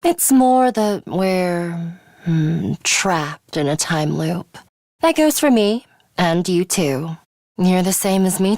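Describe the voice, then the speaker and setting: Game role: stern, aloof young female fighter, cool and commanding, very measured pace, close dry sound. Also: fairly low pitch, darkish tone, no echo. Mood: blunt, explaining. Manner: curt, cool, deliberate, commanding. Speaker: voice actress. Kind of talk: scripted video game character lines.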